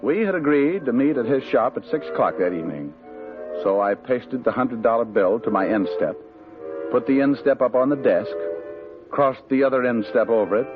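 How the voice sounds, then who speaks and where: A man voicing a hard-boiled private eye from a 1950s radio drama, trading dry, sarcastic wisecracks. His voice sits mid-range and comes through a thin, tinny old broadcast with music playing clearly under it. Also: medium pitch, lightly acted. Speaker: private detective and narrator.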